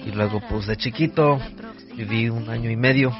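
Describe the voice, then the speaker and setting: A man's voice: mid-range voice, normal speed, highs cut off, music in the background. A young man from the United States talking casually as a radio guest, hesitant, with many restarts and fillers. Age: young adult. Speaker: guest, board operator.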